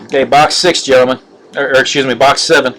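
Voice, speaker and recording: A middle-aged man hosting a live card-opening stream, talking in a flat monotone with frequent fillers and restarts. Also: mid-range voice, a little hiss or hum. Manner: monotone, halting with many fillers. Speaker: card break host. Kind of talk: casual live-stream commentary. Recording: live stream with music behind. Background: music.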